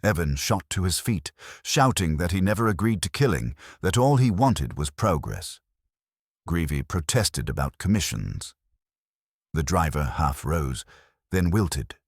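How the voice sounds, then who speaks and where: A man's voice: deep voice, sing-song, unhurried, slightly rough, no echo, clean studio sound. A man narrating a scripted first-person detective story.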